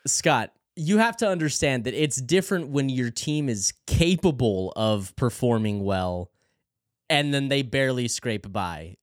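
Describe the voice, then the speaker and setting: A man co-hosting a podcast in casual banter, easygoing and relaxed. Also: medium pitch, unhurried, no echo, studio quality. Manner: laid-back, easygoing. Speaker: podcast co-host.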